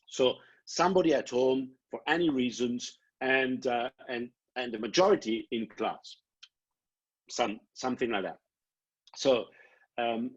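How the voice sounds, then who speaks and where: A middle-aged Italian man with an Italian accent, answering prospective students' questions on a video call, halting and repeating words as he explains. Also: medium pitch, slightly rough. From Italy. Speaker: programme director. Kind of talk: online Q&A answers. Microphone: laptop webcam video call. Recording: dull lo-fi video call audio.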